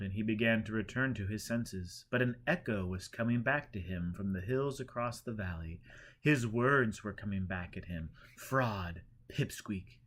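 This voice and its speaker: A man reading a storybook aloud as scripted narration, in an expressive way that leans into the drama. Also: fairly low pitch. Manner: expressive, acts out characters' dialogue. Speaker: audiobook reader.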